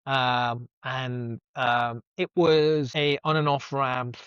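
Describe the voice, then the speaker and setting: A man's voice: mid-range voice, flat delivery, takes it slowly, fairly bright tone, smooth, no echo. Earnest young man, native Sinhala speaker. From Sri Lanka. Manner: earnest and reflective, thinks aloud with rhetorical questions. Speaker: guest. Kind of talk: conversational interview. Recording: dull, dry lo-fi sound.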